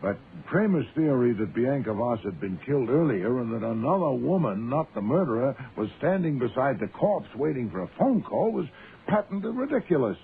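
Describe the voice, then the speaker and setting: Imperious, pompous detective, tinny old radio sound. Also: male voice, medium pitch, very animated, normal speed, gravelly. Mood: haughty, explaining. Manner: pompous, precise, formal diction. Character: imperious, proud, sedentary genius detective, large man. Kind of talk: radio drama acting. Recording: muffled, noisy phone-like old radio sound.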